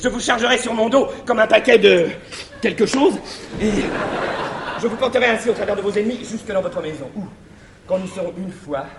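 A man's voice: high voice, fairly quick, gravelly. An actor playing a wily servant who feigns alarm to trick his master, in a theatrical classical stage comedy style. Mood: scheming, coaxing. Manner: theatrical, exclamatory, feigned panic. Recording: echoey old live theatre recording, hiss.

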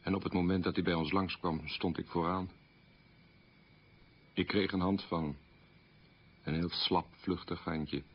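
A man's voice: low-pitched, steady tone, measured pace, long pauses, husky. An actor playing a troubled middle-aged man who candidly recalls his wartime boyhood in a plain, anecdotal radio play monologue. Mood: reminiscing. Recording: muffled old radio recording.

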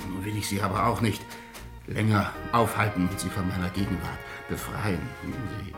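A man's voice: deep voice, steady tone, moderate pace, over music. An actor playing a cheeky, impulsive man-about-town in a German radio play, flamboyant and bantering. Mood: contrite, earnest.

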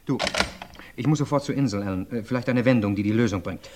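An actor playing a lawyer who reasons aloud in a measured, deliberate way in an old radio play. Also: male voice, lowish voice, noisy background, lightly acted. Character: thoughtful middle-aged male lawyer sleuth, measured.

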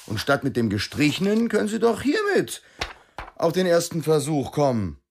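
An actor playing an insistent young East German racing cyclist in a radio drama, talking in a chummy, prodding way with repeated question tags. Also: male voice, fairly high pitch, wildly swooping pitch, normal speed, vocal fry, a little hiss or hum, full character voice. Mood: insistent persuading.

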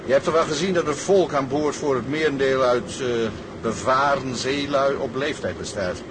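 Man acting a measured, thrifty old sea captain. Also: medium pitch, noisy background, close to an everyday voice. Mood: steady, satisfied. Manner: measured, paternal, with hums and repeated murmurs. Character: thrifty, steady older Groningen sea captain. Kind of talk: radio drama acting.

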